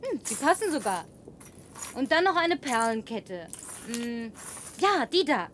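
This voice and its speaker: A woman's voice: on the high side, very animated, unhurried, fairly bright tone, a little hiss or hum. An actress dubbing a lonely young woman in a film who talks in a playful, coaxing way and sometimes drops to a whisper. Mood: teasing. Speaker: survivor in a film, dubbed.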